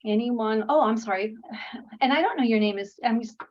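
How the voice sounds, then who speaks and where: A woman's voice: fairly high pitch, fairly quick, highs cut off. A woman presenting a workshop over a video call, encouraging and thankful, with frequent "um"s. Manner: warm, encouraging, many "um"s. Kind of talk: online workshop facilitation.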